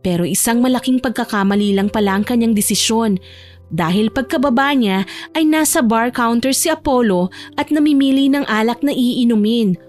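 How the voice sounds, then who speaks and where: A woman's voice: on the high side, normal speed, a little mellow. A woman narrator reading a scripted romance story in storytelling style. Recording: music bed behind the voice.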